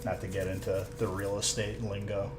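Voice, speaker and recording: A man's voice: fairly low pitch, flat delivery, moderate pace. A young man from the Chicago suburbs with a Chicago accent, chatting casually on a live stream and using lots of "like" fillers. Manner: easygoing, many "like" fillers. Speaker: co-host of a card-opening stream. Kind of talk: casual chat. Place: shop room during a live stream. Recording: echoey room with background noise.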